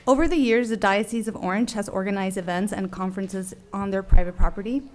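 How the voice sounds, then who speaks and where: A woman's voice: mid-range voice, steady tone. Woman formally reading a staff report. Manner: formal, measured, reads from a script. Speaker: city staff deputy director.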